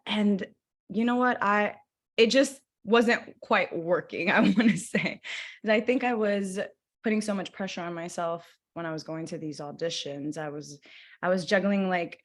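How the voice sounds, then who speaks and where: A woman in her twenties from Los Angeles, speaking as an interview guest in a loose, rambling way with many fillers and restarts. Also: fairly low pitch. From Los Angeles, USA. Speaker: guest actor. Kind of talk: casual interview talk.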